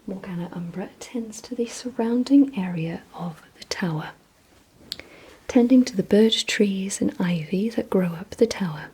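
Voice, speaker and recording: Female ASMR host, vampire roleplay: breathy whisper, mellow tone, deliberate and gently instructing delivery. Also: mid-range voice, poor audio. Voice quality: breathy, mostly unvoiced whisper.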